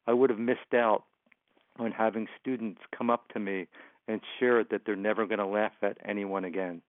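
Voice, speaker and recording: A man's voice: lowish voice, fairly even intonation, moderate pace, no echo. A man with a stutter, a motivational speaker answering interview questions, often repeating words and sounds. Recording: phone line, dry.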